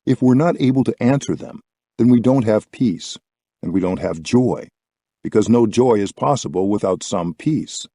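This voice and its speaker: A man reading a scripted spiritual audiobook with measured, deliberate phrasing. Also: on the low side, expressive, warm tone, no room sound, clean studio sound. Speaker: audiobook reader.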